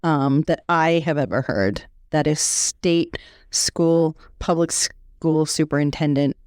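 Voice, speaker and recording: A woman's voice: sits low, slow pace, darkish tone, smooth, no room sound, clean studio sound. A woman co-hosting a podcast with an American accent, talking earnestly and upbeat with many ums. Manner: earnest, upbeat, filler-heavy. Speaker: podcast co-host. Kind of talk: casual podcast chat.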